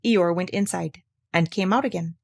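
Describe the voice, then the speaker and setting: A woman narrating a children's storybook in an expressive read-aloud style. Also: on the low side, moderate pace, gravelly. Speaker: storybook reader.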